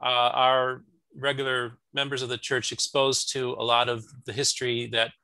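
A man's voice: mid-range voice, little pitch movement, moderate pace, bright, forceful tone, clean tone, dry recording, low-quality recording. A man hosting an interview, asking long casual questions and repeating words as he forms them. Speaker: interviewer, host.

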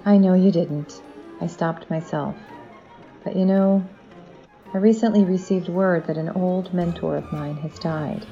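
A woman's voice: fairly low pitch, fairly even intonation, a little slow, warm tone, highs cut off, faint music behind. A woman playing a caring but troubled middle-aged wife and mother in an audio drama. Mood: hurt, uneasy.

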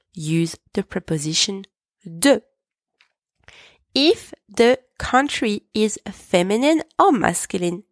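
A woman hosting a podcast lesson, patiently explaining and repeating French examples slowly. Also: medium pitch, dry recording, studio quality. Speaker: French teacher, podcast host.

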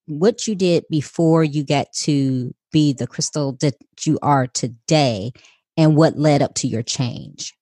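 A woman's voice: low-pitched, darkish tone, no echo, clean studio sound. A woman hosting a podcast, reading an upbeat intro and interviewing a guest. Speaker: podcast host.

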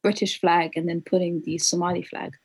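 A woman's voice: on the low side, flat delivery, moderate pace, husky, low-quality recording. A woman, a guest in an interview, explaining things with a few fillers. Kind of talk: casual interview.

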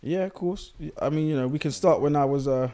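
A man's voice: fairly high pitch, fairly quick, warm tone. A young man co-hosting a podcast, talking casually and hesitating with frequent ums. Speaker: podcast co-host. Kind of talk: casual chat.

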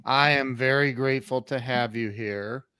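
A man's voice: mid-range voice, fairly even intonation, moderate pace, pushed and bright, clean tone, dry recording. A man hosting a talk show, interviewing a guest in a casual, informal and chummy way.

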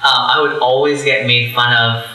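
Young man with a bright echoey voice. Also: mid-range voice, measured pace, far from the mic. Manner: candid, animated storytelling with filler words. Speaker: podcast guest, drag performer. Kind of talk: casual podcast conversation. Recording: echoey room, away from the mic.

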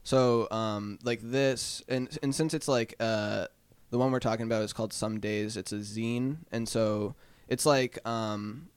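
A man's voice: lowish voice, steady tone, unhurried, clean tone, dry recording. A young man from New Hampshire, a guest photographer, talking casually on a podcast with many fillers and restarts. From Manchester, New Hampshire.

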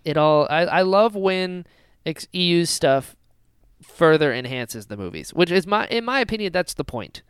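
A man's voice: a little high, normal speed, clear, resonant tone, dry recording, clean studio sound. A man hosting a podcast, chatting casually and enthusiastically as a fan. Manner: enthusiastic, animated. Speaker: podcast host.

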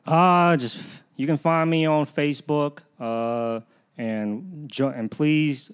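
Male podcast guest, rambling, halting delivery. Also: on the high side, clean tone. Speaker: comedy producer, podcast guest. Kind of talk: casual podcast chat.

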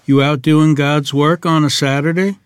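A man's voice: on the high side, fairly even intonation, moderate pace, smooth, no echo, studio quality, close to an everyday voice. A man acting a courteous, neighborly small-town man of the 1920s in a screenplay table read, folksy and polite.

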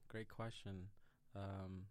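A man's voice: sits low, little pitch movement, takes it slowly, no echo. A young man from Mexico, co-hosting a podcast in loose, joking chat, often laughing and trailing off. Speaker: podcast co-host. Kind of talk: casual chat.

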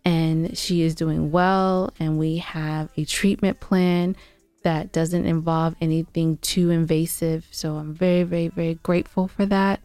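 A woman's voice: sits low, level tone, measured pace, dark tone, clear, resonant tone, no room sound. An American woman co-hosting a podcast, chatting casually and sharing show updates on a close microphone. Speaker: podcast co-host.

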